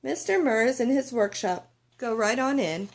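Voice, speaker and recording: A woman's voice: on the high side, animated, moderate pace. Storybook elderly wife of the super , read by a woman: welcoming, exclaiming.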